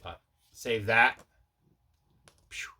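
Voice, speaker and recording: A man's voice: medium pitch, very slow, sharp tone. A man hosting a collecting vlog, chatting casually in a rambling, conversational way and correcting himself as he goes. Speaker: host of a collecting channel. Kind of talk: casual unboxing vlog chat.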